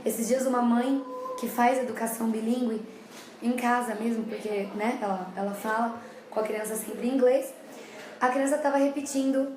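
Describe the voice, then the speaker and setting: Brazilian lady explaining stuff to parents, kinda high voice, repeats herself, echoey room. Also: fairly even intonation, moderate pace, noisy background. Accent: Brazilian Portuguese. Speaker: child development educator. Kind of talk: casual talk to parents.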